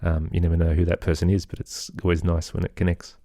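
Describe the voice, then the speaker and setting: An Australian man speaking as an interview guest, thoughtful and halting as he searches for his words in reflective conversation. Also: low voice, monotone, warm tone, no echo, clean studio sound. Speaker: guest, composer and children's podcaster.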